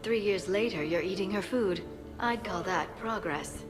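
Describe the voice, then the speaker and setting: A woman's voice: medium pitch, a little slow, over music, natural voice. An actress playing a self-assured, wry woman comrade in a video game, articulate and dry, in a reverberant space.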